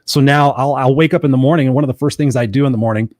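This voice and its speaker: Fast-talking energetic male motivational host. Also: mid-range voice, no echo. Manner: energetic, storytelling, earnest. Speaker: podcast host. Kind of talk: motivational live show chat.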